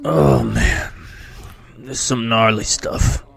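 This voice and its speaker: A sick, groggy survivor acted by a man in an audio drama. He groans slowly in a low, creaky voice, weak and slangy. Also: sing-song, fairly bright tone, full character voice. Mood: groggy, miserable.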